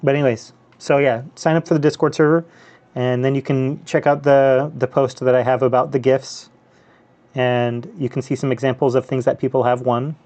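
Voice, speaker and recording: A man's voice: mid-range voice, level tone, normal speed, darkish tone. A man from the US and Canada, hosting a casual live gaming stream in a loose, rambling way with many fillers and restarts. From North America, US and Canada. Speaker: handheld gaming streamer, host. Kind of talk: casual live stream chat over gameplay.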